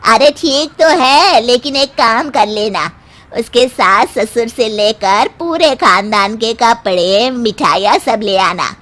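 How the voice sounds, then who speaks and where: A woman's voice: fairly high pitch, lively intonation, takes it slowly, a bit bright. A woman voicing a demanding, scolding older mother-in-law in a cartoon moral story. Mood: demanding, bossy. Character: nagging, greedy mother-in-law, older woman, partial to her daughter.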